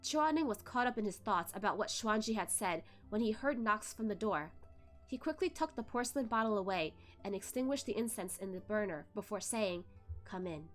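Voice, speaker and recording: A woman streamer reading a web novel aloud, narrating with animated, giggly asides. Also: medium pitch, fairly quick, soft background music. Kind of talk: read-aloud narration with live reactions.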